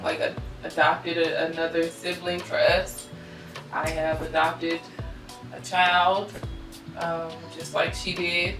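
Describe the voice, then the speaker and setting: A woman's voice: fairly low pitch, reverberant, far from the mic, low-quality recording. A woman in her thirties talking casually and reflectively in an interview. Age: 30s. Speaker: guest.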